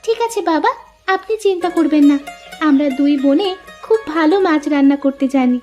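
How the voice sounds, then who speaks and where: Very high girlish young village woman, acted out. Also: animated, a little fast, dark tone. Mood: obliging. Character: sweet, naive young village woman, dutiful daughter. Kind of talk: cartoon story dialogue. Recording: music under the voice.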